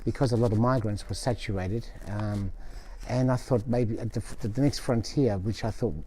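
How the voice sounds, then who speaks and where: A man, raised between Australia and Italy, gives measured, casual answers with pauses as an interview guest. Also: on the low side, steady tone, dark tone, rough recording. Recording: background noise, room ambience.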